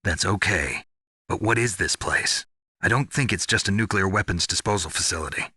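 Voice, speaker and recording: An actor playing a gruff, world-weary special forces soldier in a video game, terse and deadpan with a gravelly, husky voice. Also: male voice, sits low, normal speed, no room sound, studio quality, full character voice. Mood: questioning, guarded. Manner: terse, deadpan, hard-bitten. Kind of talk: dramatic video game voice acting.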